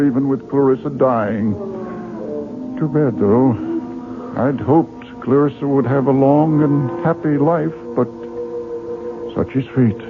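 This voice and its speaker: A man's voice: medium pitch, lightly acted. Earnest book publisher played by a man, thin radio sound. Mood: resigned, reassuring. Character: earnest middle-aged male book publisher, courteous, easily exasperated. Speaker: actor in a radio drama. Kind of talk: 1970s radio drama acting. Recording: 1970s radio broadcast, narrow phone-like band.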